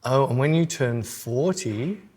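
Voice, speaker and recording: A man's voice: medium pitch, lively intonation, measured pace, darkish tone. A man in his sixties co-hosting a podcast, chatting casually with dry, teasing banter. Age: 60s. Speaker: podcast co-host. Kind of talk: casual chat.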